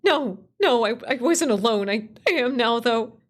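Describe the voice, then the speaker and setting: A woman playing a terrified lone camper phoning in for help in a horror radio play, stammering and halting. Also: a little high, lively intonation. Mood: frantic, lost.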